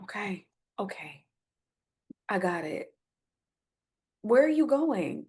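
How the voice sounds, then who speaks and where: A woman's voice: mid-range voice, very animated, slow, a little mellow. A woman playing an earnest, hopeful bereaved mother in a dramatic script reading, pleading and placating yet firm as she argues for forgiveness.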